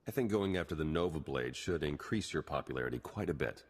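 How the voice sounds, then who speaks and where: Casting: low-voiced, confident secret agent for a game, practical and direct. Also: male voice, lively intonation, fast talker, slightly rough, heavily acted. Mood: businesslike. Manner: businesslike, direct. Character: pragmatic, confident male spy. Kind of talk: video game voice acting.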